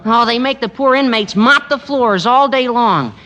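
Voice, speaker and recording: A man's voice: extremely high pitch, normal speed, bright, forceful tone, lo-fi sound. A man playing an eager, gabby, naive teenage schoolboy in 1940s radio comedy, earnest and gushing. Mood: gabby, excited. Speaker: actor in a radio sitcom.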